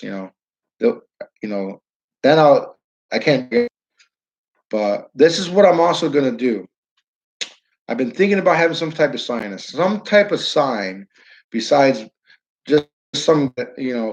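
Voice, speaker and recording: A man's voice: mid-range voice, lively intonation, moderate pace, dull audio. A man with a northern New York accent hosting a casual livestream, rambling, restarting sentences and talking to viewers by name. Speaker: livestream host. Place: his downtown building.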